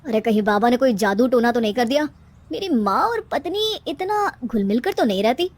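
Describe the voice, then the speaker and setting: A woman voicing a bewildered, fed-up young husband with a complaining manner in an animated story. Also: fairly high pitch, moderate pace.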